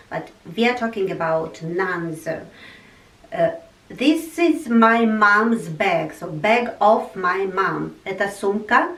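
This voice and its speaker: A woman teaching a language lesson, explaining slowly and patiently and repeating each example sentence. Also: lowish voice, a bit bright, distant mic. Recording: echoey room, voice off-mic.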